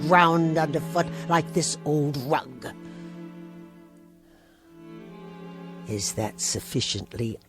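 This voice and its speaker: An actress playing a bitter, caustic, middle-aged niece in a vintage television drama, with cutting sarcasm. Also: female voice, a bass voice. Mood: bitter, resentful. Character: bitter, long-suffering niece, caustic and resentful.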